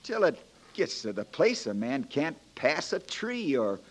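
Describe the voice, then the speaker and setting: Folksy young frontier lawyer, higher-voiced. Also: male voice, big pitch swings, unhurried. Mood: earnest, persuasive. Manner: folksy, plain-spoken, joking, homespun turns of phrase. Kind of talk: 1940s radio drama acting.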